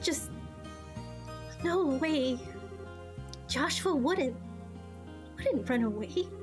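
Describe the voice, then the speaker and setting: A woman voicing a heartbroken teenage girl in denial in a game story, halting and emotional. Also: high voice, lively intonation, pitched above the performer's own voice, full character voice. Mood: dazed denial. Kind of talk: amateur game character voice acting. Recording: game music behind.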